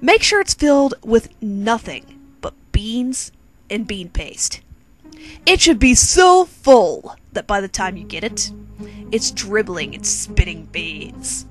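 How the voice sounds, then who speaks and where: A woman's voice: mid-range voice, sing-song, takes it slowly. A woman reading a comic second-person story theatrically, with sassy, bossy asides to the listener. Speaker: narrator reading own humorous fan fiction. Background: quirky music bed.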